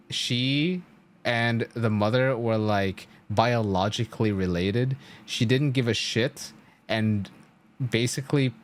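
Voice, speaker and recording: A young man streaming a game, explaining its story in a casual, rambling way with emphatic storytelling and loose swearing. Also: medium pitch.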